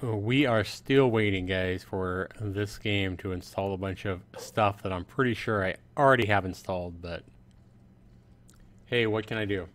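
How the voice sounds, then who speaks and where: A man's voice: on the low side, moderate pace. A man chatting casually to viewers as the host of a gaming livestream.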